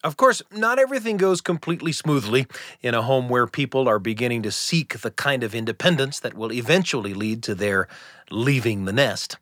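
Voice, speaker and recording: A middle-aged man hosting a radio show, telling a personal family story in a gentle, reflective, earnest way. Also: mid-range voice, lively intonation, a little fast, dry recording, clean studio sound.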